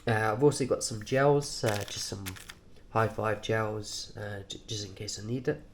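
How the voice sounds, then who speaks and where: A man speaks in a fairly low, nasal voice, congested from a cold. He takes his time, moving very slowly through short, halting phrases. The delivery is informal and offhand, like a vlogger showing his gear. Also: slight background noise. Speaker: cyclist presenting his race kit. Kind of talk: informal vlog, kit walkthrough.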